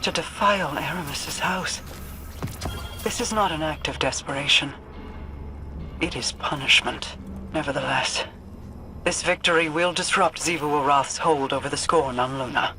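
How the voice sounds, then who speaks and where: An actress playing a solemn, regal queen and seer in a video game, speaking formally and with measured gravity. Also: sits low, pushed and bright, faint music behind. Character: regal, grave female queen and seer advising war. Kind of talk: scripted game dialogue.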